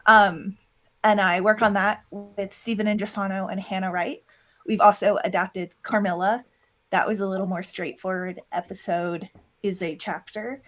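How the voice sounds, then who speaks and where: A woman's voice: mid-range voice, fairly even intonation, moderate pace, fairly bright tone. A woman chatting casually as an interview guest, talking in a self-deprecating way. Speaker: interview guest, audio drama producer and voice actor.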